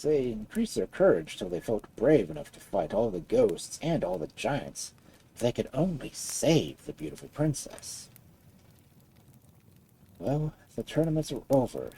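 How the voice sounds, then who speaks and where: A storyteller reading a fairy tale aloud in an expressive style. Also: medium pitch, unhurried, vocal fry, slight background noise, low-quality recording.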